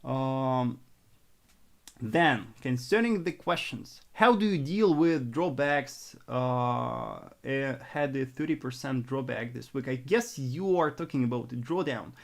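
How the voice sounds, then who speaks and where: A young adult man from northern Russia with a Russian accent, answering live chat questions on a trading stream in a halting, earnest way with many restarts. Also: medium pitch, gravelly. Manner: halting and repetitive, conservative and earnest.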